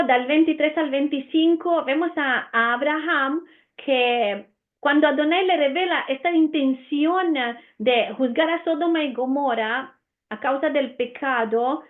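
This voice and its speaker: A woman with an Italian accent gives an earnest Bible lesson, often pausing with "eh". Her voice sits high. The sound is narrow and tinny, like a phone line. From Romania. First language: Romanian. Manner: earnest, with frequent "eh" fillers and restarts. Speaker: Bible teacher. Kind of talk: Bible teaching.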